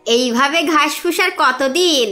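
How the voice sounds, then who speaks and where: A woman's voice: high voice, measured pace, bright, forceful tone, soft background music. A woman voicing a rich, nosy neighbour in a cartoon, showy, needling and persuasive. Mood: boastful. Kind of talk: animated cartoon dialogue.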